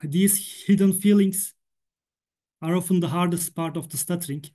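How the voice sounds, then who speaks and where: A man's voice: high-pitched, moderate pace, mellow. A man from Turkey with a Turkish accent, a native Turkish speaker, giving a prepared talk in English for a stuttering association while he stutters, repeating words and sounds. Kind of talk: prepared presentation.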